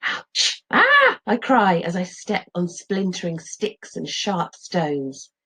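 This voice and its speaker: A woman's voice: on the low side, takes it slowly, husky. A middle-aged woman, a teacher reading a children's story aloud expressively.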